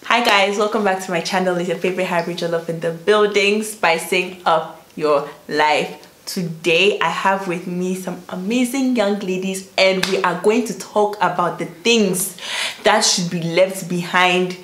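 A young woman hosting a casual group chat on her channel, playful and quick to laugh. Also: lowish voice, reverberant. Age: young adult. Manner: animated, laughs often, playful emphasis. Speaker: host. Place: small room indoors.

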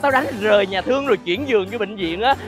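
A woman with a Southern Vietnamese accent playing a sharp-tongued market vendor in a comedy skit, scolding and bickering. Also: mid-range voice, fast, over music, lightly acted. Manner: scolding, rhyming tirades. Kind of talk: fast comic skit acting, bickering.

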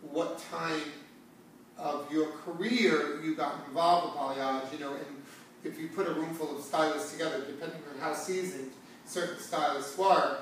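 A man's voice: a little high, fairly bright tone. A middle-aged man hosting a conversational, educational salon talk, addressing viewers emphatically and repeating phrases to make his point. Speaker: salon talk show host, hair stylist. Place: indoor hair salon seating area. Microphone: far camera. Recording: distant mic in echoey room, background hiss.

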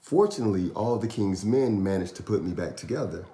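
A man in his thirties reading a picture book aloud to young children, with deliberate, dramatic storyteller pauses. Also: fairly low pitch, lively intonation, dark tone, a little hiss or hum. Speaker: children's storytime host and reader. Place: small indoor room in a house. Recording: roomy echo, faint music.

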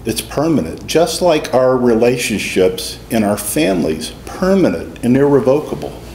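A man's voice: mid-range voice, lively intonation, moderate pace, gravelly, a little mellow. A middle-aged man, a deacon, preaching a homily in a conversational way with folksy asides, in a small stone-walled church. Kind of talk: sermon. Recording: echoey church with faint room noise.

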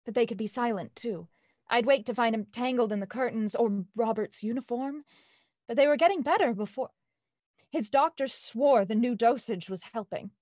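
A young heiress in mourning played by a woman speaks in a fairly high voice, recorded very dry. She is polite and hesitant, feeling her way through sentences in a period radio mystery. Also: no echo, lightly acted. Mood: wistful, composed. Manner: halting, soft-spoken, polite. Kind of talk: audio drama acting.

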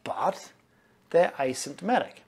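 A man's voice: on the high side, sing-song, a little slow, vocal fry, husky. Chatty man in his forties explaining scans. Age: 40s. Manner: chatty, keeps checking in with okay and right. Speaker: physiotherapist. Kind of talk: explaining his own knee scans to camera. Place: small clinic office.

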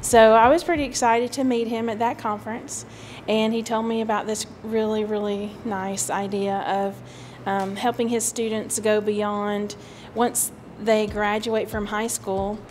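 A woman's voice: medium pitch, flat delivery, unhurried, darkish tone, a little hiss or hum. A middle-aged woman working as an extension agent, speaking in a sit-down interview indoors. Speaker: food safety extension agent. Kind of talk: sit-down interview for a promotional video. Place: indoor office or cafe room. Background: music.

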